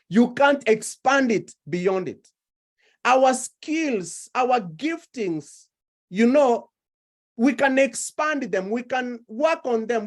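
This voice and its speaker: A woman delivers a sermon at a slow, measured pace. Her voice sits a little high, and she stacks repeated phrases to build each point. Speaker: preacher.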